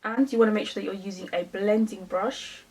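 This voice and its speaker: A woman's voice: mid-range voice, steady tone, a little fast, darkish tone, breathy edge, distant mic. A woman in her twenties from London with a London accent, chatting casually through a makeup tutorial with lots of fillers. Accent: London English. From England. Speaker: beauty vlogger.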